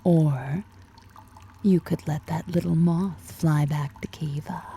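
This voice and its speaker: Slow, husky, low-voiced predatory monster lady acted by a woman. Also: steady tone, dark tone, a little hiss or hum, heavily acted. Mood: persuasive. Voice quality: breathy, husky whisper-edged. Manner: slow, sultry, toying, drawn-out murmurs. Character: seductive, predatory female drider lady, powerful and teasing. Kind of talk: ASMR roleplay acting.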